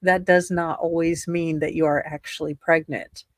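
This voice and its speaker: A woman's voice: sits low, flat delivery, moderate pace, dry recording, studio quality. A woman reading a document aloud with sardonic, casual commentary. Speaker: co-reader in a dramatic reading stream.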